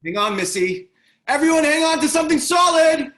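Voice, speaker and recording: A man playing a wary, gruff ex-government reluctant hero in a screenplay table read. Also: very high-pitched, expressive, a little fast, a bit bright. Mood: commanding.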